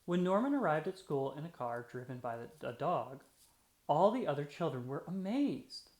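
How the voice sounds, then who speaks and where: A children's read-aloud narrator with a mid-range voice that rises and falls in a lively way. The phrasing is deliberate and expressive, made for young listeners. The room adds noticeable echo. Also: mid-range voice. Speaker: storyteller reading aloud. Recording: roomy, echoey sound.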